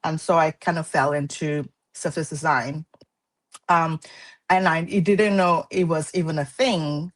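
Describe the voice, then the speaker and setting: A woman from Malaysia in her thirties, a guest artist speaking thoughtfully in a casual interview, with frequent pauses. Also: low voice, steady tone.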